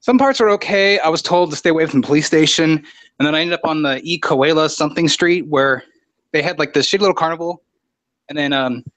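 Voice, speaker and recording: Laid-back man, blunt and casual, voice on the high side. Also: brisk, fairly bright tone, no room sound. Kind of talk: casual chat.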